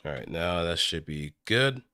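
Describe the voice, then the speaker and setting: A man streaming casually, thinking aloud in a halting, musing way as he works. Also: low-pitched, animated, pushed and bright, smooth, no room sound, clean studio sound.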